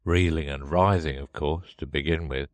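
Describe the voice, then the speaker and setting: A man voicing a melancholy, easily offended turtle creature from a storybook, in a deep, hollow tone full of sighs. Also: fairly even intonation, no echo. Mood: sorrowful, superior. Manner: slow, mournful, sighing. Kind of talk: storybook character reading.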